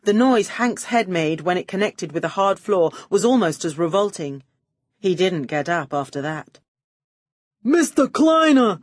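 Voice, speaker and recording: A woman's voice: fairly low pitch, normal speed, a little mellow, no room sound, clean studio sound. A woman reading a first-person detective story as an audiobook narrator, with a wry, arch and poised delivery.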